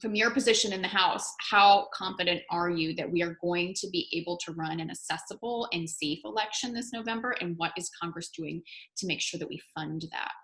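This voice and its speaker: American woman interviewer, kinda low voice but really bright, conversational questions. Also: brisk, poor audio. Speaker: interviewer and event host.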